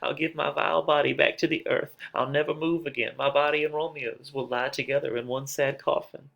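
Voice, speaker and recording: A man's voice: fairly high pitch, level tone, fairly quick, gravelly, lo-fi sound, pitched above the performer's own voice. A man playing a lovesick young girl in a dramatic reading of a tragedy, declaiming with open emotion. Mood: heartbroken. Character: lovesick young girl, impatient, then anguished and grieving.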